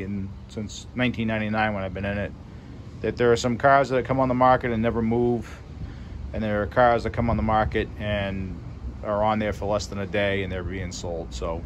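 A man's voice: lowish voice, a little hiss or hum. Casual man showing off his garage project. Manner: relaxed, conversational, loose with fillers. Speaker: railcar hobbyist showing his car. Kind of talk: casual video walkthrough. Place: small wooden garage, indoors. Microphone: phone camera held by the speaker.

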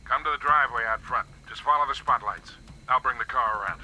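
Sharp, forceful getaway accomplice. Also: male voice, mid-range voice, a little hiss or hum, low-quality recording. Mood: businesslike. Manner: brisk, colloquial, urgent. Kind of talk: video game radio-chatter acting.

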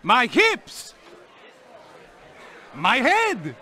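A man voicing a jolly dancing king in a children's game, booming and theatrical, over game music. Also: wildly swooping pitch, drawn-out words, pushed and bright, a faint crowd, heavily acted. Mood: playful.

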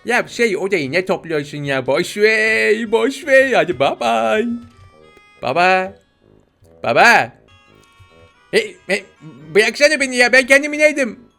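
A man's voice: sits high, lively intonation, unhurried, creaky, bright, forceful tone, faint music behind, pitched above the performer's own voice, heavily acted. A man voicing a cheeky, demanding baby boy in a video game, whiny, bossy and teasing. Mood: teasing, protesting.

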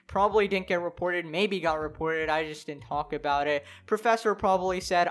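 A young woman speaks at a middling pitch in the casual style of an online commentator. She is opinionated and slangy. Her delivery is exasperated and incredulous. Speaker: YouTuber. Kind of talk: casual opinionated commentary, reading articles aloud.

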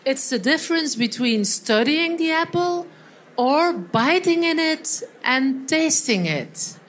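A woman from Belgium explains a training course to a live audience in a high voice. Her phrasing is slightly non-native, and faint noise runs under the recording. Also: animated, slow pace, fairly bright tone. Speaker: seminar trainer. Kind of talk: live workshop talk. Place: event hall.

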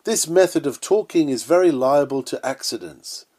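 A man's voice: on the high side, lively intonation, normal speed, warm tone. A man reading an old-fashioned sermon aloud in an earnest, oratorical manner. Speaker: sermon reader.

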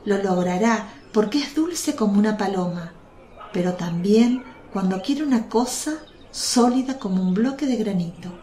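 A woman acts a serene, consoling mother in a religious radio play. Her mid-pitched voice is gentle and earnest, set in a roomy echo with birdsong. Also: lively intonation, moderate pace, lightly acted. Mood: tender, reporting. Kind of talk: Spanish religious radio drama.

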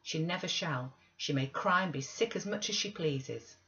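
A woman's voice: low-pitched, animated, normal speed, sharp tone, full character voice. Woman as selfish sickly youth, petulant, whining, storybook character, slightly muffled recording. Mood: sulky, spiteful. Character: sickly, selfish, peevish teenage boy. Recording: slightly dull webcam audio.

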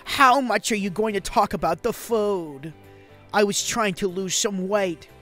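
A man's voice: high-pitched, measured pace, a bit bright, faint music behind. A man voicing a chatty young bespectacled manga team member in a playful comedic visual novel dub.

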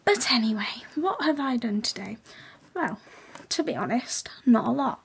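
Teen girl, hoarse and gravelly, hushed late-night chat, fairly high voice, laptop webcam. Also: sing-song, moderate pace, a little hiss or hum. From England. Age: teens. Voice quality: raspy. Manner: hushed, half-whispered, chatty. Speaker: vlogger. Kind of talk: casual late-night vlog. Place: bedroom at night, indoors.

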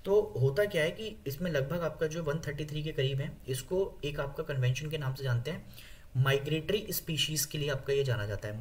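A man with an Indian accent teaching an exam-prep lesson, explaining with many fillers, reading English lines slowly and asking viewers questions. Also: mid-range voice. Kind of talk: exam-prep lecture over slides.

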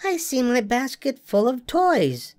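An older man reading a storybook aloud, voicing a small, sleepy, eager young female rabbit in a high, sing-song voice. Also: huge pitch swings, slow, darkish tone, full character voice.

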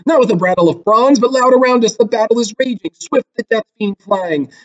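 A man's voice: very high voice, fairly quick, dull audio, pitched above the performer's own voice. A man voicing a fearful, pleading chorus of elders in a Greek tragedy, declaiming in fervent incantation.

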